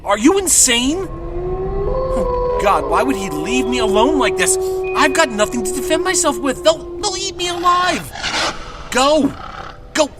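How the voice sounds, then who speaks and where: A man acting a frightened, indignant carriage passenger in a horror audio drama, agitated and demanding, over loud background noise. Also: very high voice, animated, measured pace, over loud music. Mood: terrified, pleading.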